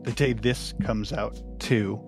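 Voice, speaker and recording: Slow-talking man over soft guitar music. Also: lowish voice, steady tone. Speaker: audio drama co-creator and editor. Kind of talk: casual behind-the-scenes chat.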